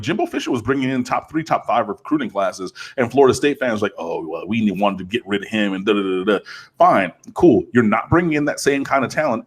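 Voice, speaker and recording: A man with a slightly husky voice talks college football on a casual podcast. He rambles and gets animated, with plenty of fillers as he builds his points. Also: lowish voice. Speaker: co-host, Miami fan. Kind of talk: casual sports podcast chat.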